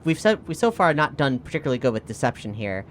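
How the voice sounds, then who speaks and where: Man, medium pitch, off-the-cuff game chat, casual, sweary, noisy background. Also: sing-song, quick pace, a bit bright. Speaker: participant in a tabletop role-playing podcast.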